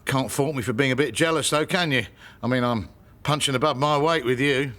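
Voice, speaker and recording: An actor playing a defensive, jealous British husband in a radio soap. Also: male voice, mid-range voice, brisk, bright, forceful tone, lightly acted. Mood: defensive, sheepish. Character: sheepish, jealous husband and pub landlord, defensive.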